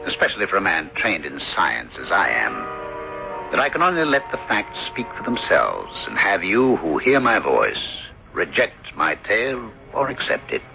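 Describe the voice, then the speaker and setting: An actor playing a kindly, rational country doctor in an old-time radio drama, with a fussy, reassuring, measured manner. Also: male voice, deep voice, wildly swooping pitch, gravelly, over music, full character voice. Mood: grave narrating. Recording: muffled, noisy old radio drama recording.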